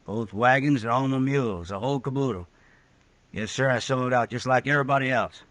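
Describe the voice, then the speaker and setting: A man plays an easygoing, hungover mule skinner in a western radio drama. His is folksy and sheepish, at middling pitch in a close, dry recording. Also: a little fast, fairly bright tone, no echo, natural voice. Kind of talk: 1950s radio western acting.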